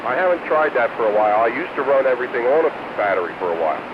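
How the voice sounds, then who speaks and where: Loud radio noise surrounds a muffled male voice of medium pitch. The man rambles casually and hesitantly, as in an on-air chat between radio operators. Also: very animated, rapid-fire. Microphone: radio transceiver microphone.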